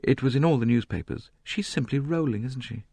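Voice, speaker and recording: A man's voice: medium pitch, fairly quick, warm tone, husky, dry recording, pitched above the performer's own voice. A man voicing a sulky, bitter young woman who talks in a clipped, sullen way.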